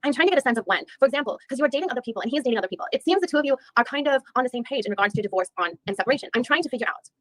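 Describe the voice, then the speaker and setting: A woman's voice: a little high, lively intonation, racing, few pauses, fairly bright tone, no room sound, low-quality recording. A woman reading the part of a female attorney who questions a witness methodically, with frequent restarts. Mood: probing. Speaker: reader in a deposition reenactment. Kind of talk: read-aloud legal reenactment.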